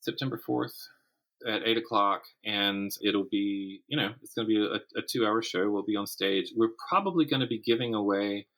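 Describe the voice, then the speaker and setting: A man with a deep voice that still carries a bright, forward edge. He talks casually as a podcast guest, working out his thoughts aloud and often rephrasing them mid-sentence. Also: normal speed. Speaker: podcast guest, writer and teacher. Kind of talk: casual conversational interview.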